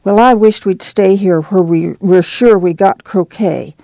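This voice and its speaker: A woman's voice: medium pitch, unhurried, gravelly, warm tone, no room sound, low-quality recording. A woman voicing an eager, tattling little farm girl in a storybook, with childlike ungrammatical rural American talk. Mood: pleading.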